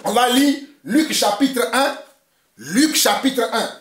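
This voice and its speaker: A man's voice: very high-pitched, sing-song, takes it slowly, fairly bright tone, reverberant. A man from Togo whose first language is Mina, preaching an emphatic, fiery sermon and repeating his points. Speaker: pastor.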